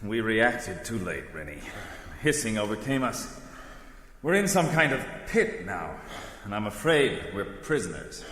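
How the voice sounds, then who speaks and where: An actor playing a calm, brainy adventure hero who leads with formal, precise words in an old-time radio serial style. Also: male voice, on the low side, unhurried, long reverb tail. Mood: strained, determined.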